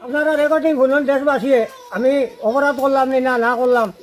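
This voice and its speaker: A man's voice: very high voice, fairly even intonation, fairly quick. A middle-aged man with a Sylheti accent telling a personal account in a rambling, repetitive, unscripted way. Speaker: father-in-law telling his family's story.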